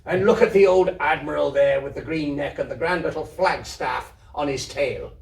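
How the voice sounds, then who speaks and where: A man voicing a jovial, brisk farm handyman in a story reading, calling out playfully. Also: on the high side, vocal fry. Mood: amused. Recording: roomy echo, distant microphone.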